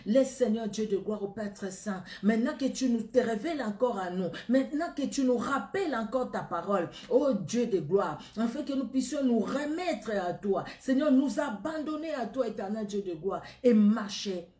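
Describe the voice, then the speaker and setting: A middle-aged woman with a Congolese accent preaches and prays aloud, her words earnest and returning again and again to the same phrases. Her voice is mellow and warm. She is recorded on a webcam in a room that rings with echo. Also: on the high side, expressive, normal speed, few pauses. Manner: earnest, repetitive, rising fervor in prayer. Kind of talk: sermon and spoken prayer. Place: indoor room against a plain wall.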